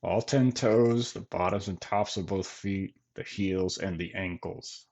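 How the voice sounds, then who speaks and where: A man leading a guided body scan meditation in gentle, measured phrases with long pauses between them. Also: low voice, dull audio. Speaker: meditation teacher.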